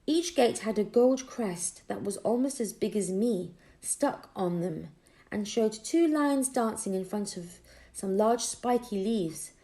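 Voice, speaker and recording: Mellow woman reading a children's story. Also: mid-range voice, lively intonation, slow. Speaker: audiobook reader. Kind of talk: expressive scripted children's story narration.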